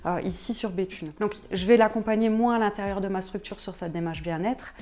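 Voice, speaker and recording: A woman's voice: medium pitch, a little fast, darkish tone. A woman giving an informal, explanatory interview as a local business owner. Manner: conversational, explanatory.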